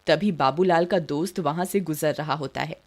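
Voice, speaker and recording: A woman's voice: low-pitched, normal speed. A woman giving scripted narration of a story as its narrator.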